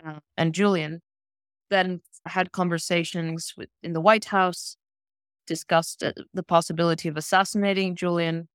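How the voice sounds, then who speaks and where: A woman from South Africa, a human rights lawyer, answering interview questions in a measured, thoughtful way with pauses. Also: on the low side, a bit bright, no echo, clean studio sound.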